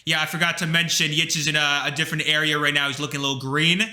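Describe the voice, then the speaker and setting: A man's voice: fairly high pitch, rapid-fire. A young man co-hosting a casual podcast with his brother, rambling with lots of fillers. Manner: rambling, filler-heavy, animated. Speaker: podcast co-host. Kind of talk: casual chat. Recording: echoey room.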